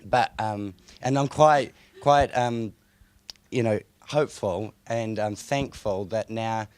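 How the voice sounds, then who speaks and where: A young man with a croaky voice, speaking hesitantly as a councillor on a conference panel. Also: on the low side, takes it slowly, no room sound. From New Zealand. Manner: hesitant, many fillers and restarts. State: hoarse, croaky voice. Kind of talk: panel discussion. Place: conference room.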